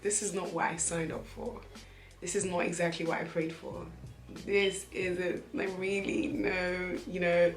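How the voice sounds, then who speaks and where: Animated young woman, slightly croaky, echoey room. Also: fairly low pitch. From Nigeria. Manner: animated, laughs often, repeats phrases for emphasis. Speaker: vlogger. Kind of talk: casual chatty vlog talk.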